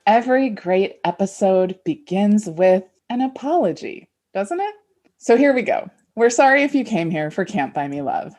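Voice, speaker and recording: A woman's voice: mid-range voice, animated, normal speed, darkish tone. A woman in her forties co-hosting a podcast, telling stories in a casual, conversational way. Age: 40s. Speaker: podcast co-host. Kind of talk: casual chat with a friend, some scripted intro.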